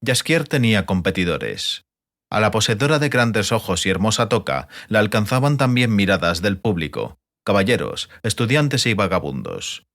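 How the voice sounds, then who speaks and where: A man's voice: fairly low pitch, big pitch swings, moderate pace, fairly bright tone. A man narrating a fantasy audiobook in a scripted literary style.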